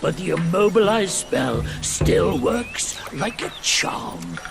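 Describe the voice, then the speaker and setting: A kindly, wry old guide in a fantasy video game. His voice is high and gravelly, swooping theatrically as he muses. Music runs underneath. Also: huge pitch swings, drawn-out words, heavily acted. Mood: sly, kindly. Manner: theatrical, musing, sing-song.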